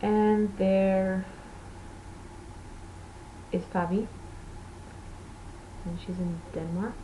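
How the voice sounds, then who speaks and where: A woman's voice: medium pitch, steady tone, drawn-out words, mellow, slight background noise, low-quality recording. A woman from Portugal, a native Portuguese speaker, hosting a knitting podcast in rambling, self-correcting casual chat.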